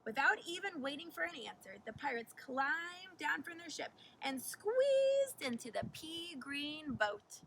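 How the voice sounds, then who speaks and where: A woman narrates a children's picture book in a high, bright voice with animated, deliberate delivery. She is a little far from the mic, with faint noise behind her. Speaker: teacher reading aloud. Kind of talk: expressive children's storybook narration.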